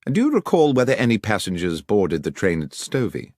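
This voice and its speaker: A man doing a crisp, ironic gentleman detective. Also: on the low side, huge pitch swings, a little fast, no echo, clean studio sound, pitched above the performer's own voice. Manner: crisp, probing, dryly ironic. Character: sharp, precise male detective, middle-aged.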